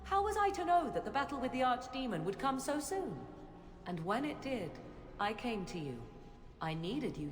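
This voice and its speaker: Casting: guarded, imperious witch for fantasy game. Slow, dramatically varied delivery, archaic diction, cutting edge, roomy echo. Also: medium pitch. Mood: indignant. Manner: formal archaic phrasing, theatrical and cutting. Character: proud, guarded witch, sharp-tongued and ominous.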